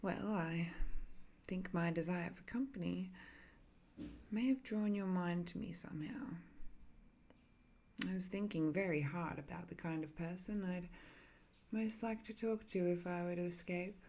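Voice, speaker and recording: An ancient villainess, acted by a woman for an intimate audio roleplay, with a lowish, mellow voice that crackles with fry. She is haughty and condescending, yet softly flirtatious and infatuated, hesitating as she lets tenderness slip through. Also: a little slow. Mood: tender, flirtatious. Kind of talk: intimate ASMR roleplay acting.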